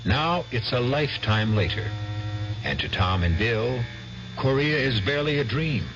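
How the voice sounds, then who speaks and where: A man giving grave, measured narration for a 1970s radio drama. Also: mid-range voice, expressive. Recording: dull old radio broadcast with hiss.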